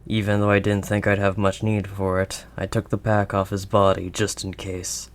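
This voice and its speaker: A man voicing a gruff but kindly werewolf of the wild woods in an intimate audio roleplay, with a halting, gently protective manner. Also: low-pitched, monotone. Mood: gentle, awkward. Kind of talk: audio roleplay, intimate second-person acting.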